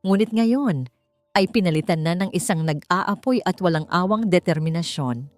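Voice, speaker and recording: Woman, Filipino accent, lowish voice, solemn dramatic story narration, emphatic phrasing, soft background music. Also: normal speed, no echo.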